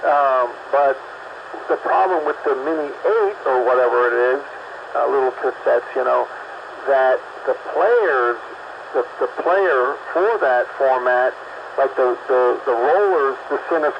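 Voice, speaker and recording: Gravelly caller on a noisy, dull line. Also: male voice, medium pitch, measured pace. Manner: hesitant, rambling, many restarts. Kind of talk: casual chat. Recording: dull lo-fi line with background noise.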